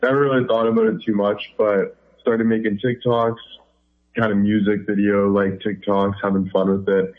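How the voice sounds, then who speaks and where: A man's voice: lowish voice, little pitch movement, normal speed. A young man from Canada, chatting casually as a guest and rambling with lots of fillers. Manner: loose and rambling, many fillers like "like". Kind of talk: casual interview chat. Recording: tinny phone line.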